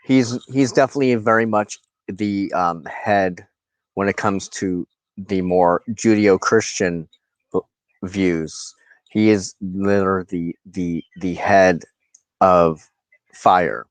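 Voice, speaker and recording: A man giving an informal spiritual talk as a reverend and teacher, speaking in a halting, deliberate way with drawn-out pauses. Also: low voice, fairly even intonation, smooth, dry recording. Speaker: host, pagan reverend and teacher.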